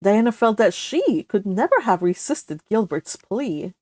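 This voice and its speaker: A woman reading a classic novel aloud as expressive scripted storybook narration. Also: mid-range voice, a little slow, no room sound, studio quality. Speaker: audiobook narrator.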